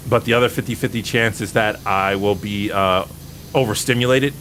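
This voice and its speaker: A man's voice: lowish voice, steady tone, moderate pace, a bit bright. Rambling man streamer, noisy recording. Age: early 30s. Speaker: streamer answering viewer questions. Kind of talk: casual livestream chat, reading out and answering questions. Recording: noticeable background noise.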